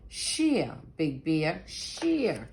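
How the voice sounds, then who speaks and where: An insistent old storybook oak tree, played by a woman, gives slow, drawn-out commands. The pitch swings widely in a sing-song way, wise and scolding. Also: wildly swooping pitch, vocal fry, a little mellow, slight background noise, exaggerated voice. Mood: solemn, insistent.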